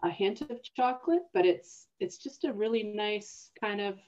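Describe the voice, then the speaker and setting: A woman's voice: medium pitch, expressive, moderate pace, darkish tone, highs cut off. A woman co-hosting a casual conversation, thinking aloud with small restarts. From Canada. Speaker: co-host. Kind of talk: casual conversation over video call.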